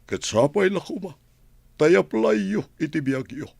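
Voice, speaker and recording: A man plays a solemn, kindly prison priest in a radio drama. He preaches slowly with an Ilocano accent, husky and gravelly, with strongly rising and falling pitch. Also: very animated, darkish tone, no room sound, full character voice.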